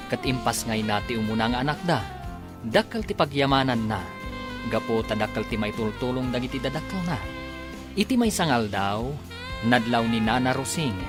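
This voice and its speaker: A man with a Filipino accent narrating and announcing a radio serial in a dramatic scripted style. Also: mid-range voice. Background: music.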